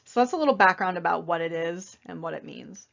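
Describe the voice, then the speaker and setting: A young woman with a slightly raspy voice while getting over an illness, giving a casual, conversational slideshow presentation with many fillers. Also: fairly low pitch, expressive, fairly quick, a bit bright, muffled recording. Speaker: student presenter.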